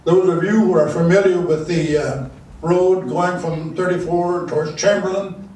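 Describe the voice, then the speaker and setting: A man's voice: sits high, fairly even intonation, darkish tone, recorded from a distance. Older man telling stories in an echoey hall. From South Dakota. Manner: folksy, halting with many restarts. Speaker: guest speaker. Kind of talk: storytelling talk. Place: large meeting room. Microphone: handheld mic. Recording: echoey room, mic from afar, faint hiss.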